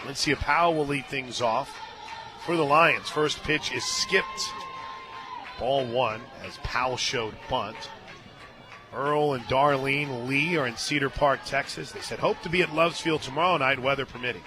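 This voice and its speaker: A man doing live radio play-by-play of a softball game, mixing pitch calls with folksy, joking asides. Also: a little slow, music in the background. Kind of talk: live softball radio commentary with casual banter. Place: outdoor stadium press booth.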